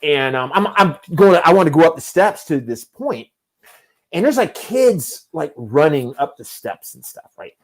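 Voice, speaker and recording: A man's voice: fairly high pitch, sing-song, measured pace. Rambling self-mocking man in his fifties. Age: 50s. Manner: rambling, self-deprecating storyteller. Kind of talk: casual chat.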